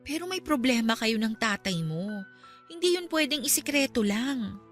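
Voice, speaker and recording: A woman's voice: fairly high pitch, big pitch swings, normal speed. A Filipino actress in a radio drama playing a caring, exasperated young girlfriend, nagging yet tender. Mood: concerned. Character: caring, exasperated young girlfriend looking after her man. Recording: music behind.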